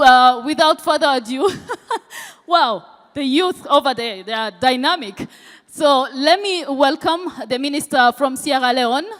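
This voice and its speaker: A woman's voice: high voice, fairly bright tone. A woman hosting a live ceremony, speaking off the cuff to introduce speakers. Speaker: event host. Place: large indoor conference hall. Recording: echoey hall, long reverb.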